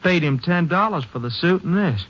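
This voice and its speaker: A nervous ranch hand in an old radio western, high and sharp, with lively swings of pitch. He talks in a folksy, stammering way, on a dull vintage recording. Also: normal speed, slight background noise. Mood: nervous, defensive. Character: nervous cowhand, chatty ranch worker protesting innocence.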